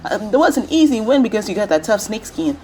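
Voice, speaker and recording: A woman voicing a brash, cocky breakdance fighter who trash-talks in slang in an animated fight show. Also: fairly high pitch, fast, poor audio. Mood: cocky taunting. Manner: trash-talking, slangy, swaggering.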